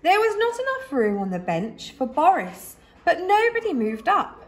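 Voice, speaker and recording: A woman reading a children's story aloud expressively, like a teacher, with dramatic pauses and emphasis. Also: very high-pitched, huge pitch swings.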